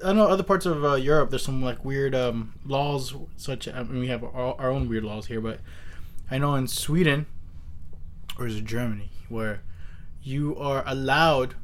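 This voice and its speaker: An interviewer in a casual chat who talks in an animated, rambling way with many restarts, into a desk microphone. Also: medium pitch, normal speed.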